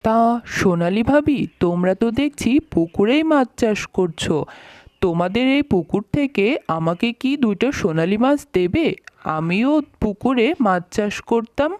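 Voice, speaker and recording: A woman's voice: medium pitch, animated, moderate pace, darkish tone, dry recording, lightly acted. A woman playing a chatty village woman in a cartoon fable. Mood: coaxing. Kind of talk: cartoon voice acting.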